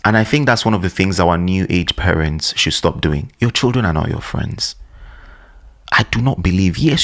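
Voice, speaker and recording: Low-voiced man scolding animatedly. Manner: animated, scolding rhetorical questions. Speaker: commentator. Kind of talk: opinionated commentary.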